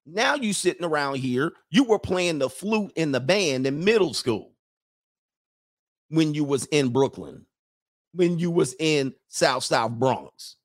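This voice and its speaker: A man talking casually to his audience in an animated way, mocking people with quick put-on impressions and laughing at his own jokes. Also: fairly high pitch. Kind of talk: casual commentary monologue.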